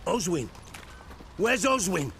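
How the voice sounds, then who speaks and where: Frantic, high-pitched villager. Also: male voice, sing-song, takes it slowly, vocal fry, background noise, full character voice. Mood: panicked. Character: frantic villager pleading for a trapped brother. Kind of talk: heightened video game acting.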